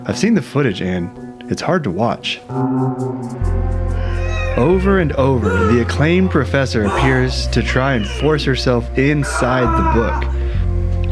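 Actor as wry thriller narrator over guitar music. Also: male voice, mid-range voice, lightly acted. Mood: grave. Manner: dramatic, sardonic, deliberate emphasis. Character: wry, aggrieved male narrator investigating a dark mystery. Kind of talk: scripted first-person thriller narration.

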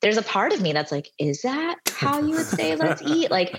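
A woman's voice: high-pitched, big pitch swings, normal speed, gravelly, background noise. A woman in her thirties, a podcast guest, telling stories in a chatty, self-deprecating way, full of "like" and "you know". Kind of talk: casual conversational storytelling.

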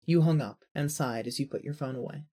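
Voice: medium pitch, a little fast, dark tone. A narrator reading a scripted second-person story for an audio drama.

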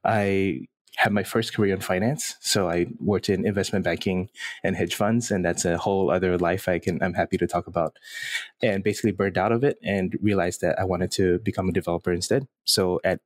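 A man in his thirties from Singapore, a podcast guest talking in a relaxed, reflective way with small laughs. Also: sits low, a little fast, no echo. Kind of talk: casual interview conversation.

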